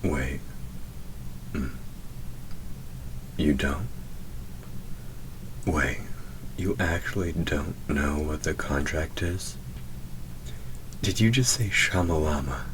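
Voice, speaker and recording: A man playing a wry demon hunter who is himself a demon, teasing yet protective, speaking intimately and with measured sarcasm in an audio roleplay. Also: rumbling low, noisy background. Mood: teasing, amused. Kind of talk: scripted audio roleplay spoken to the listener.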